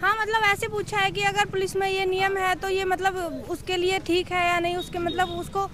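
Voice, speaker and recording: A woman's voice: very high voice, level tone, normal speed. A young woman. She answers an interviewer informally, as an exam candidate, speaking outdoors into a handheld mic. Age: young adult. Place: outdoors on a busy roadside. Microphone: handheld interview microphone held to her.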